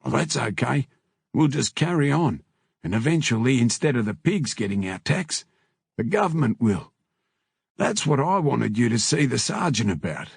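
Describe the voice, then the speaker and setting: A man voicing a matey, blunt male biker in an audiobook, talking in rough slang. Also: mid-range voice, very animated, moderate pace, no echo, studio quality, pitched above the performer's own voice, exaggerated voice. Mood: casual, scheming. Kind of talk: audiobook character dialogue.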